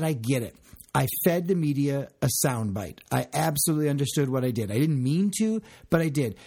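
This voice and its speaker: Emphatic man with a loud voice. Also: fairly high pitch. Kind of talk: casual discussion.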